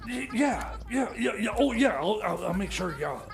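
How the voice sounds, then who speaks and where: A man puts on an eccentric, scatterbrained fixer with a very high, crackly voice. He stammers, rambles and doubles back on himself in a comic radio sketch, with a little noise behind him. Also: lively intonation, moderate pace. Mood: agitated. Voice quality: high-pitched, creaky. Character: flustered, eccentric male tinkerer and small-town fixer. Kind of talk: improvised comedy radio skit. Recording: faint background noise.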